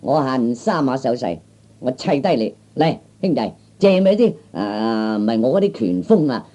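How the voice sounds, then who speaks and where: A man playing a small, skinny, boastful kung fu braggart in a Cantonese comic radio play, talking haltingly with many interjections. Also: mid-range voice, big pitch swings, mellow, natural voice. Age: 30s.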